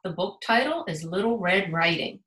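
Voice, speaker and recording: An American woman, a school principal, reading a children's story aloud in an animated, emphatic way. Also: fairly low pitch, moderate pace. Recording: roomy, echoing sound.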